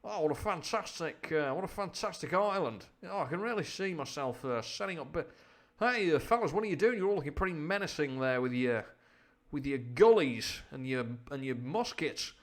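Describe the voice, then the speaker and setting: A man's voice: a little high, animated, moderate pace. A man voicing an affable, hapless comic fellow, chatty, hesitant and awkwardly polite. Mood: nervous chattiness.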